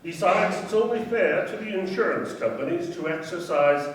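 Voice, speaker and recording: An actor playing a polite, cautious gem dealer in a radio play, speaking formally and with careful courtesy. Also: male voice, sits high, moderate pace, distant mic, lightly acted. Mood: measured, cautious. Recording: very echoey room, faint noise, off mic.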